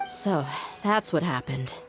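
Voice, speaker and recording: A woman's voice: sits low, expressive, slow, music in the background, full character voice. An actress voicing a proud, guarded young woman mercenary in a video game, speaking firmly and formally. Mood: subdued, questioning.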